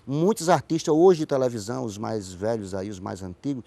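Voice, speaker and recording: A man's voice: medium pitch, a little fast, creaky, warm tone, dry recording. A man with a Northeastern Brazilian accent, a writer answering in a conversational interview and telling stories, often repeating words and phrases for emphasis. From Paraíba, Northeast Brazil. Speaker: writer and playwright, interview guest.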